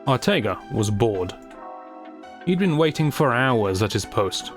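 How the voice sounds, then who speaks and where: A man with a medium-pitched voice delivers scripted storytelling narration. His phrasing is deliberate and theatrical, with a wry edge. Music plays underneath his voice. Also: sing-song. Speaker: creator and narrator.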